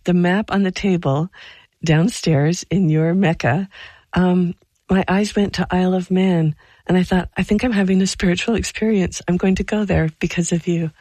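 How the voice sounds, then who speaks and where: Excited woman guest on a dry line. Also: fairly low pitch, normal speed, no room sound, rough recording. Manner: excited, gushing. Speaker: radio guest. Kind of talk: casual chat.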